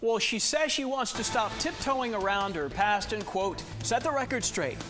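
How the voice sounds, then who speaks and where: A man's voice: high-pitched, animated, normal speed, music in the background. An American man reading scripted TV news as an anchor, with emphatic delivery. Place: TV news studio.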